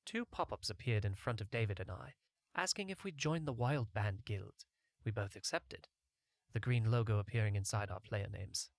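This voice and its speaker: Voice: mid-range voice, normal speed, no room sound. Audiobook narrator telling a first-person story, dry sound.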